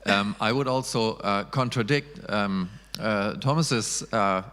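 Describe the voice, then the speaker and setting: A man whose first language is German moderates a panel in English with a fairly low voice. He speaks in a measured, hesitant way, filling pauses with many ums. A long reverb from the hall trails behind his words. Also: fairly bright tone. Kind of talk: panel discussion on stage. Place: festival stage in a reverberant hall.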